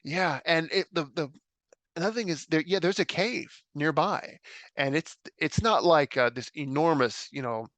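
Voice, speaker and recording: An American man chatting as a podcast guest, eager and quick to laugh, often restarting his sentences. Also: a little high, a bit bright, no echo. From United States. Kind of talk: casual podcast chat.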